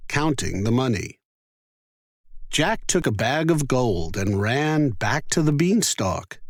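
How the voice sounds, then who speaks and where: A man tells a children's fairy tale at a slow, careful pace. His mid-pitched voice rises and falls expressively, and the recording is dry and close. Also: dry recording. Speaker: storyteller.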